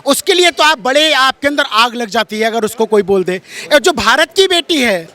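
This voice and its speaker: Impassioned high-pitched man, preaching emphatically. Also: animated, moderate pace, pushed and bright. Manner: emphatic, preachy, repeats phrases. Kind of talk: impassioned street-interview polemic.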